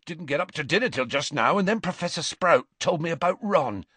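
A man voicing a huge, gruff, soft-hearted giant with a hoarse growl, worried, in an audiobook. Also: on the high side, animated, brisk, no room sound, voice pushed up, exaggerated voice. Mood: breathless, worried.

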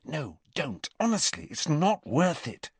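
A man's voice: extremely high pitch, expressive, extremely slow, a bit bright, no room sound, pitched above the performer's own voice, heavily acted. A man voicing an anxious, sensible teenage girl who pleads urgently. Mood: hushed.

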